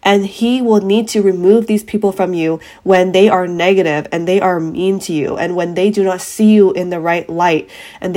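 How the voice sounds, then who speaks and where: A woman hosting a podcast, her voice a bit raspy from a cold coming on, giving an earnest, emphatic motivational monologue to her listeners. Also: lowish voice, a little mellow. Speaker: podcast host.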